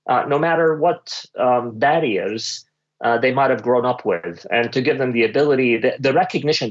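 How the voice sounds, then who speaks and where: A man from the Middle East speaking as an executive panellist, giving measured answers and often pausing to search for words. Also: mid-range voice. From Middle East (Lebanon, Kuwait, Dubai). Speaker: panellist, senior finance executive. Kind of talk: panel discussion, considered answers. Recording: dull, muffled lo-fi audio.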